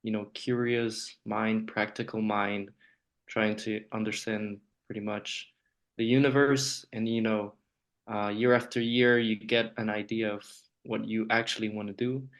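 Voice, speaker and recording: A man's voice: lowish voice, little pitch movement, a little slow. A man from Honduras, a native Spanish speaker, answering conversationally as an interview guest. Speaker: podcast guest, nuclear trainer.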